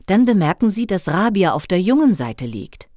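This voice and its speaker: A woman narrating a scripted children's audio play in a dramatic, expressive storybook manner. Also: mid-range voice, moderate pace, no room sound, studio quality.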